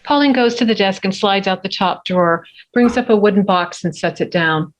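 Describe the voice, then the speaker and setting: A woman's voice: medium pitch, normal speed, slight background noise, low-quality recording. A woman reading scene headings and action lines as narrator at a script table read. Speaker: stage direction narrator.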